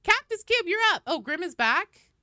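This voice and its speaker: A woman's voice: very high voice, big pitch swings, moderate pace, sharp tone, no room sound, studio quality. A woman chatting casually to viewers as a game livestreamer, playful and joking, with some swearing. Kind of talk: casual livestream chat while gaming.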